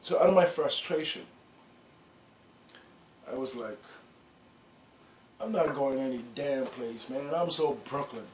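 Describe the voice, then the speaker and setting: Middle-aged man, mid pitch, rambling casual storyteller, echoey room. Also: darkish tone. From Guyana. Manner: rambling, lots of "you know" and restarts. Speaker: clothing brand founder. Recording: echoey room with faint background noise.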